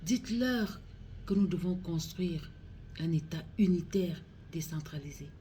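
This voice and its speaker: A woman with a Cameroonian accent giving a solemn public address as a regional councillor, grave and pleading, in deliberate phrases. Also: lowish voice, mellow, slight background noise.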